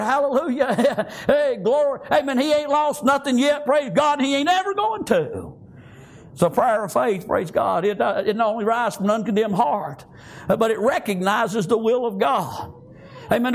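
Older man, very high-pitched voice, Southern American accent, fervent preaching, folksy phrasing, frequent amens. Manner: folksy, punctuated with frequent amens and praise God. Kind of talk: fervent church sermon.

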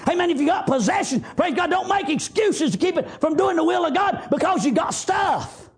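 A man with a Southern US accent preaching a fervent revivalist sermon, exhorting loudly and peppering it with praise interjections. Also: very high voice, animated, fairly quick, creaky.

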